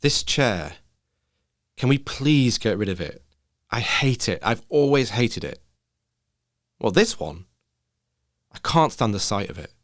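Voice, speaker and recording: Man voicing a subdued, slow-spoken mother. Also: lowish voice, big pitch swings, no echo, clean studio sound. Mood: uneasy. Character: subdued, troubled woman, a gentle anxious mother.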